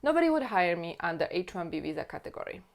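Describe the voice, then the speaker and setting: A woman's voice: on the low side, big pitch swings, fast talker, gravelly. A woman from Slovakia with a Slovak accent, an immigration attorney talking straight to camera and repeating her key points earnestly. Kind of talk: explainer video to camera.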